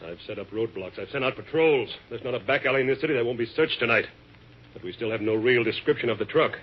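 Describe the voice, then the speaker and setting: An actor playing a worried, weary police chief, terse and businesslike, in a 1950s radio drama. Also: male voice, mid-range voice, fast, lightly acted. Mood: grimly businesslike. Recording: dull, noisy 1950s radio recording.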